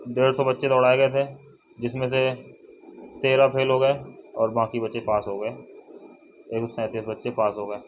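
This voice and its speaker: A young man giving a casual informational update to viewers, halting and often repeating himself as he restates figures. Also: medium pitch. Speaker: exam update vlogger. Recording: dull lo-fi, faint noise, birdsong.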